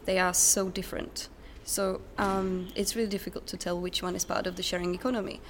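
A young woman speaks as an interview guest. Her voice is medium in pitch, and she phrases things thoughtfully, pausing and searching for words. A faint noise from a conference venue sits in the background. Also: level tone. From Czech Republic. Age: young adult. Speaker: interview guest, recent graduate. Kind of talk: conversational interview answers. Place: conference center. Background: ambient noise, distant sound check.

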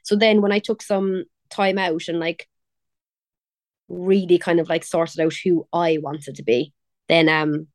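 A woman's voice: lowish voice, fairly even intonation, measured pace, dry recording, studio quality. A young woman from Cork with a Cork Irish accent, chatting casually as an interview guest, laughing and quoting others. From Ireland. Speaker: pop singer, guest.

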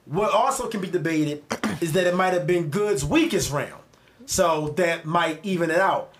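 Philly podcast co-host, male, higher-pitched voice, animated, profane, storytelling. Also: normal speed. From Philadelphia. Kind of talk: casual chat.

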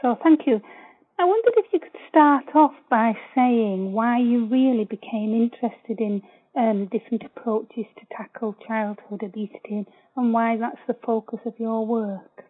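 A woman interviewing a researcher, asking polite, measured questions. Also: a little high. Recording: tinny phone line.

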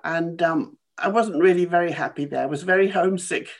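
A woman in her eighties from Kenya, a former dancer telling her life story in a halting, thoughtful way with small laughs. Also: lowish voice. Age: 80s. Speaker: interview guest, former dancer. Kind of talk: casual remote interview.

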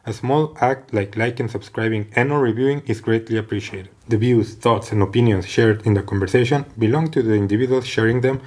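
A man's voice: mid-range voice, fairly quick, hardly any pauses, a little mellow. A man hosting his own podcast, reading a scripted introduction with an upbeat, promotional delivery. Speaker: podcast host. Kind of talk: scripted podcast intro, then casual interview. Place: office meeting room.

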